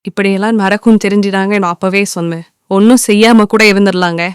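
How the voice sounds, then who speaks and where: A woman playing a village wife, reproachful yet supportive, in an animated moral tale. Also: medium pitch, quick pace, clean tone, no room sound, clean studio sound, close to an everyday voice.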